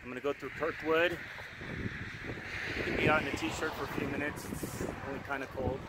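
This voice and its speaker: A middle-aged man talks easily to a handheld phone as he drives. The sound is close but carries steady car and road noise throughout, with outdoor stops in open air. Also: fairly high pitch, brisk. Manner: relaxed, chatty narration to camera. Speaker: travel vlogger. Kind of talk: casual travel vlog. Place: inside a car while driving, also outdoors at stops. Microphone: phone camera at arm's length.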